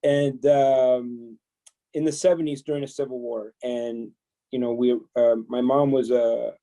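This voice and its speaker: A man in his fifties, born in El Salvador, telling his life story as a podcast guest in a relaxed way, chuckling and full of fillers. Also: medium pitch, unhurried, poor audio. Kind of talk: storytelling interview.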